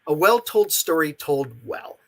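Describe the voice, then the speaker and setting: A man's voice: on the high side, animated, measured pace. A talkative man explaining his creative work in a casual interview, stressing points by repeating them. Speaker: interviewed writer and creator of interactive audio dramas.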